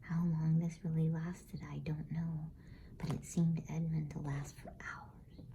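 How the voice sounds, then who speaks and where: A woman whispers a bedtime story slowly and close to the microphone, in ASMR style. Her hushed delivery is soft and mellow, with a faint noise behind it. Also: sits low, flat delivery, vocal fry. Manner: hushed, unhurried, soothing whisper.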